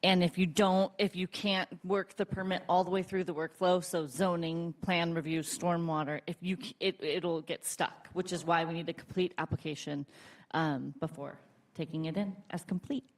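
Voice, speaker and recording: Low-voiced woman explaining procedure. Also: steady tone, moderate pace. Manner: matter-of-fact explaining. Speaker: permit staff.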